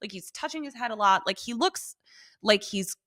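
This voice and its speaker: A woman co-hosting a podcast, casually recapping a TV episode in slangy, sweary, animated storytelling. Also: medium pitch, normal speed, no room sound, clean studio sound. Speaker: podcast co-host.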